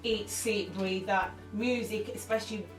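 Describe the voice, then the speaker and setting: A woman's voice: medium pitch, steady tone, unhurried. A woman, talking openly and emotionally as an interview guest, often laughing as she tells her story. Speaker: interview guest, singer and actress. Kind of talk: candid conversational interview. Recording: echoey room, mic far off, music underneath.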